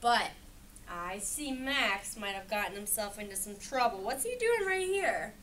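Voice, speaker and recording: A woman's voice: mid-range voice, very animated, unhurried, a bit bright. A woman reading a picture book aloud interactively to children, asking questions and affirming their answers as she goes. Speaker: read-aloud educator. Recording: distant mic in room with background noise.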